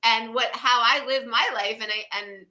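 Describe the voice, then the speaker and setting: A woman's voice: a little high, fairly even intonation, normal speed, bright, forceful tone. A woman in her fifties co-hosting a wellness livestream, talking in a casual, effusive way and laughing often. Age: 50s.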